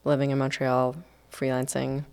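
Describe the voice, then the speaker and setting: Low-voiced woman composer from Iceland. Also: completely flat delivery, normal speed, creaky, a little mellow, clear, resonant tone. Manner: thoughtful, hesitant, many fillers. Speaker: guest composer. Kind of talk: casual podcast interview.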